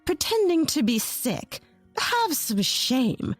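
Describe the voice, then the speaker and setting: A spiteful, sneering mother-in-law, her voice pitched high and scolding, as a woman narrator puts her on. She is a bitter middle-aged woman in a storybook drama, and the voice is close and dry, with faint music behind. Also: sing-song, takes it slowly, dry recording, full character voice. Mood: scornful.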